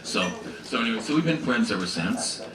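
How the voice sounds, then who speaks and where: A man's voice: mid-range voice, expressive, a little fast. A man hosting a classical evening and introducing a guest singer, rambling and hesitant with many fillers. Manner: rambling, hesitant, warm banter. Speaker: host and pianist. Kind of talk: casual onstage introduction. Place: small salon performance venue. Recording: roomy, distant, noisy live room.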